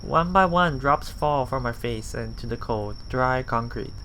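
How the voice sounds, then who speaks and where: A man hosts a visual novel let's play, reading the text at an unhurried pace in a bright, forward voice. His delivery is halting, with self-corrections and murmured asides. Steady noise sits behind him. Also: medium pitch, low-quality recording. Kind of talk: reading a visual novel aloud with casual commentary.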